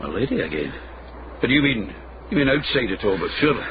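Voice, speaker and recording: An actor playing a wary, dogged investigator in a period radio drama, terse, guarded and probing. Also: male voice, medium pitch, sing-song, quick pace, roomy sound. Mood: weary, puzzled. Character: wary, dogged male investigator in old Edinburgh. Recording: muffled, noisy radio drama recording.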